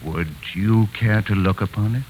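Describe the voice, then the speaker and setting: An actor playing a fanatical, self-righteous mad artist in a 1940s radio thriller, with a theatrical, eerily self-assured and insistent delivery. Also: male voice, fairly low pitch, moderate pace, a little mellow, natural voice. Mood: calm, fanatical. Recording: noisy vintage 1940s radio broadcast.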